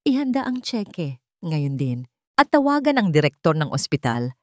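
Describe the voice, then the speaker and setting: A woman's voice: low-pitched, sing-song, normal speed, dry recording, clean studio sound. A woman voicing a wealthy, devoted old man with an authoritative, earnest manner. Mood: urgent, commanding.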